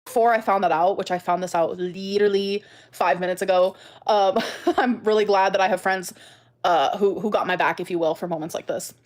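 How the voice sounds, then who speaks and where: A woman's voice: medium pitch, steady tone, fairly quick. A woman video creator talking casually to her viewers, emphatic and defensive, often repeating herself.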